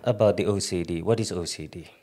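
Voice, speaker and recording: A man's voice: deep voice, fast, warm tone. A man speaking as a psychiatrist guest, explaining conversationally in short choppy phrases, repeating words for emphasis and checking in with the listener.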